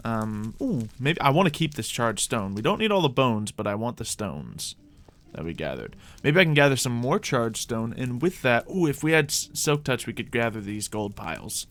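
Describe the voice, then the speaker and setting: A man's voice: medium pitch, big pitch swings, normal speed, fairly bright tone. A man hosting a gaming video, thinking aloud in casual gameplay commentary.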